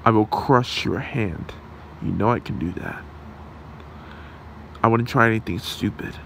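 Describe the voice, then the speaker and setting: A man's voice: lowish voice, measured pace, creaky, fairly bright tone. Man playing a menacing, gloating supervillain, noisy recording. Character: menacing male supervillain, gloating, possessive, theatrical. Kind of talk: amateur ASMR roleplay drama. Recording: noisy room hum.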